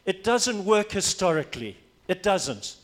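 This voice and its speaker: A man's voice: high-pitched, very animated, unhurried. A man from Africa, a pastor preaching a sermon, emphatic and repeating his key phrases for weight.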